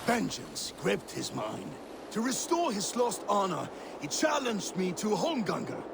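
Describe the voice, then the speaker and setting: A man playing a rueful, weathered older Viking warrior telling a tale dramatically in a video game, with a gravelly voice. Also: high voice, huge pitch swings, measured pace, heavily acted. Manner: wistful, dramatic storytelling. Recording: loud water and boat noise.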